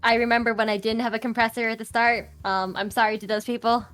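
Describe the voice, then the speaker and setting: A young woman with a high, bright-sounding voice that runs loud, talking casually in an online interview. She chats freely, full of fillers and restarts, over a faint bed of music. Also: little pitch movement, fast talker. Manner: chatty, many fillers and restarts. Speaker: guest. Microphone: home streaming mic with EQ and compressor.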